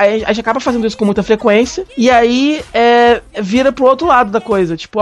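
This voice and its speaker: A man co-hosting a podcast in casual chat, rambling through his points with many restarts. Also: high voice. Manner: rambling, lots of restarts and fillers. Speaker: podcast co-host.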